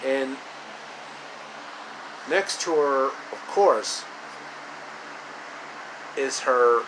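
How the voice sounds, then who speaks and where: A man telling a story in improvised narration, halting and repeating his phrases as he goes. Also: mid-range voice. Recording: loud steady room hum and hiss.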